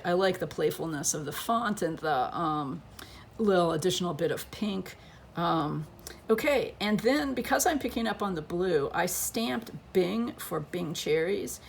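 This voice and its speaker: A woman with a lowish voice and a gravelly, fry-edged tone talks through a scrapbook page at her crafting table. She is losing her voice and chats in a loose, thinking-aloud way as she works. A faint hiss sits underneath. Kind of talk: casual craft tutorial.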